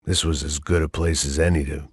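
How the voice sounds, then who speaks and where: An actor playing a weary, hard-drinking middle-aged ex-cop, delivering noir inner monologue with dry sardonic wit. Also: male voice, extremely low pitch, little pitch movement, fairly quick, slightly rough, dry recording, full character voice.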